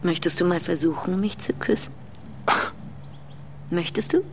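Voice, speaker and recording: A gravelly, seductive married woman. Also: lowish voice, a little slow, darkish tone, a little hiss or hum. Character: seductive married woman, longing, bold and insistent. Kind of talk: German radio drama acting.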